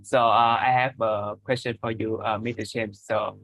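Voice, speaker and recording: A man's voice: on the low side, little pitch movement, normal speed, vocal fry, a bit bright, low-quality recording. A man from Vietnam, learning English on a video call from his room, hesitant and full of fillers. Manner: hesitant, many fillers, nervous laughs. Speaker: English learner in an online class.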